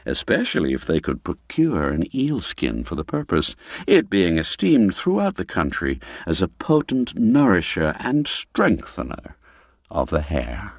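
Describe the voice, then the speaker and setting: Slow, deep, expressive male storyteller. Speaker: narrator. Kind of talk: scripted literary audiobook narration. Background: background music.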